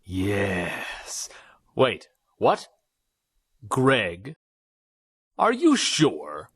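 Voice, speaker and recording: An actor playing a scheming, pompous teacher who summons demons in a comedic radio play. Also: male voice, on the low side, huge pitch swings, very slow, a bit bright, husky, dry recording, clean studio sound, full character voice.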